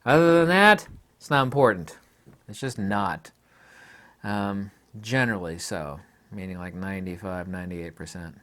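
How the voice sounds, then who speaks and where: A man's voice: deep voice, moderate pace, fairly bright tone. A man giving an opinionated photography vlog monologue, speaking in an emphatic, blunt and scornful way. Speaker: photography YouTuber.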